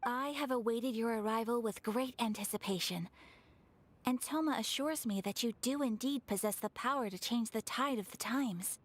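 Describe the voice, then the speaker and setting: Actress playing a gracious young noblewoman, breathy, formal speech, slightly high. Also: normal speed, natural voice. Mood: earnest formal. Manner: courteous, formal and earnest.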